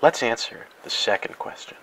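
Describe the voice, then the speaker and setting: A man narrating a parody educational film in a mock-serious, grandiose and deadpan way. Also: normal speed, slight background noise, low-quality recording. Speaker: narrator and host.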